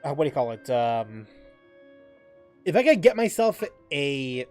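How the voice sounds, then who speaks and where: A man chatting casually as a game streamer, using gamer slang and repeating himself haltingly as he reacts. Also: mid-range voice, very animated, a little mellow. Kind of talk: casual livestream chat and reactions. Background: game music.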